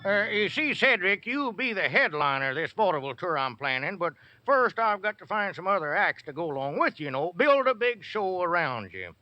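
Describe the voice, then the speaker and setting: An actor playing a pompous, smooth-talking small-town squire and swindler in an old-time radio comedy, wheedling and wordy. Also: male voice, high-pitched, very animated, normal speed, hardly any pauses, soft background music. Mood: grand salesmanship. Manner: wheedling, wordy, grandiose showman's patter. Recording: muffled old radio recording with hiss.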